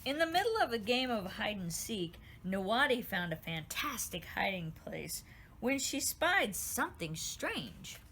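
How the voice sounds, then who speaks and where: A woman reads her own children's book aloud, gentle and expressive. Her voice sits at an everyday middle pitch, unhurried storytelling. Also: slight background noise. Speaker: author reading her book.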